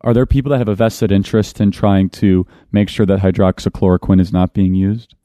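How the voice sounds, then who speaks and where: A man's voice: low voice, fairly even intonation, a little fast, warm tone, dry recording, studio quality. A man hosting a talk show, putting probing questions to a panel of doctors. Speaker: host. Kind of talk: interviewing a panel.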